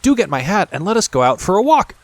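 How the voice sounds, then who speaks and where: An eager, carefree little girl princess from a storybook, acted out by a male reader. The voice is lifted high and swings up and down with childlike excitement. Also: fast talker, dry recording, exaggerated voice. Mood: delighted. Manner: exclamatory, eager. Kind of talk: storybook character dialogue.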